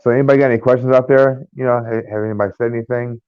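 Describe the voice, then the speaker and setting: An American man co-hosting a casual podcast, talking in a rambling, halting way with many fillers and restarts. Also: mid-range voice, steady tone, smooth, dry recording. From United States. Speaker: podcast co-host. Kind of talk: casual chat. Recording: tinny phone-like line.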